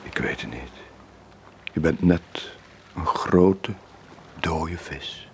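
An actor playing a haunted, brooding older former sailor in a Flemish radio drama, speaking in a husky, breathy voice. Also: male voice, very deep voice, drawn-out words, noisy background, heavily acted. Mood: haunted, hushed. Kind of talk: 1980s radio drama acting.

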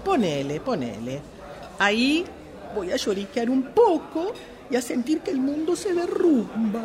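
A domineering Argentine girlfriend being dumped , played by a woman in a comic radio play, with a Rioplatense accent. She orders and threatens with sarcasm and big pitch swings, over loud crowd chatter. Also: very animated, a little slow. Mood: exasperated. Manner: commanding, sarcastic, dramatic. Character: bossy, theatrical, menacing jilted girlfriend dining out. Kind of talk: radio drama comedy acting.

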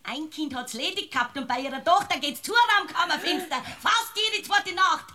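A very bright, high female voice as a spiteful Bavarian village woman in a radio comedy. She is self-righteous and indignant, always accusing and gossiping. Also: normal speed. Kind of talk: comic radio drama acting.

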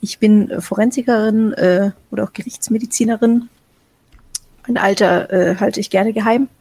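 A woman's voice: mid-range voice, fairly even intonation, moderate pace, mellow, husky, no room sound. A woman in a tabletop game recording, hesitantly and jokingly introducing her character, with many fillers. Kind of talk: casual chat.

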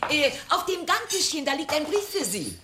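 A chirpy female landlady with a high, very lively voice. She is friendly and encouraging, heard in a roomy, noisy old radio drama recording. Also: normal speed. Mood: cheerful, encouraging. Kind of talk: German radio crime comedy acting.

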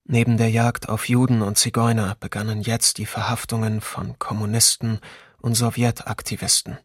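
An actor playing a sardonic memoir writer in a documentary radio play, reading soberly with dry irony. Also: male voice, fairly low pitch, level tone, normal speed, no room sound, close to an everyday voice. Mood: dryly bitter. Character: sardonic memoir writer looking back on occupation.

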